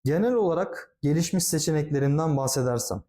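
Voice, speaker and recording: A man with a slightly high, soft-edged voice presents a product demonstration. He is polite and formal, walking through each step in an orderly, explanatory way. The tone is mellow and relaxed. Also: fast.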